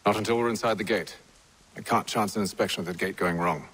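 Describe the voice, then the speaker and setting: A man's voice: fairly low pitch, fast talker, husky. An actor playing a composed male commander who gives clipped, formal orders in a video game cutscene. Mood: measured. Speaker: leader of a small band of men. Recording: game audio with loud music.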